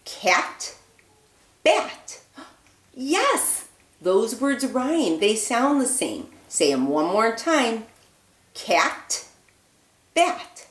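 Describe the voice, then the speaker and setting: A woman teaching young children a rhyming lesson in a slow, sing-song voice with lots of praise. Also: fairly high pitch, fairly bright tone, roomy sound.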